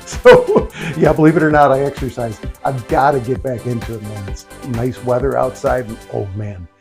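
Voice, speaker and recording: A man's voice: medium pitch, steady tone, normal speed, creaky, a little mellow, soft background music. An American man hosting a casual, unscripted DIY vlog from a small home office, talking in an informal, rambling way full of conversational asides. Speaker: video host, DIY speaker builder.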